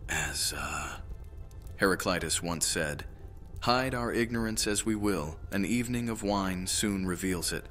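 An actor playing a wry, teasing Roman army commander in a fantasy audio drama, bantering and skeptical. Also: male voice, deep voice, a little slow, a bit bright, soft background music.

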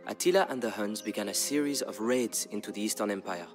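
A man narrating a historical tale as a dramatic scripted story, in a measured, grave storyteller's delivery. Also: lowish voice. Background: background music.

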